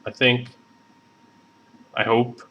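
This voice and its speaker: Slow-talking Southern-accented man. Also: medium pitch, completely flat delivery, slightly rough, dull audio. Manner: rambling, self-deprecating, many fillers. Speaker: scale model builder streaming. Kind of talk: casual live stream chat. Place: home hobby desk.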